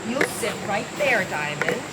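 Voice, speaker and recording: A woman's voice: medium pitch, animated, a little slow, lightly acted. A woman playing a sultry, cool and dangerous gangster's moll in a radio detective drama, sly and flirtatious. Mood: coolly threatening. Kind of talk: mid-century radio femme fatale acting. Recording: very noisy old radio recording.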